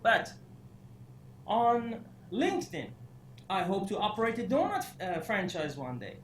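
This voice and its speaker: A man raised in Iran giving a career workshop lecture, halting with many fillers and restarts, breaking into animated asides. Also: high voice. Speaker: career development instructor. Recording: echoey room, faint noise.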